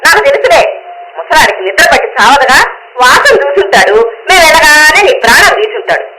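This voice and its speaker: A woman playing a sharp-tongued middle-aged mother and daughter-in-law in a radio play, with an exclaiming manner. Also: sits high, very animated, fairly quick, a loud crowd, rough recording. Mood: scornful scolding. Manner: scolding, exclaiming, contemptuous.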